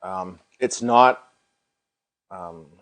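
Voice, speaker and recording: A man's voice: low voice, drawn-out words, a bit bright, rough recording. A middle-aged American man hosting a paranormal livestream in a casual, folksy way, repeating himself as he shows a product.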